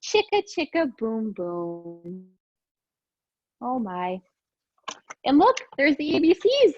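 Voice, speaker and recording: A woman teacher reading aloud to young children in an expressive, sing-song, encouraging way. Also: fairly high pitch, slow pace, dull audio, a little hiss or hum. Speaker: preschool teacher reading storytime.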